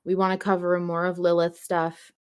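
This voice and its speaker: A woman hosting a fan podcast, chatting casually in an animated, joking and sweary manner. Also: lowish voice, moderate pace, clear, resonant tone. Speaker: podcast host.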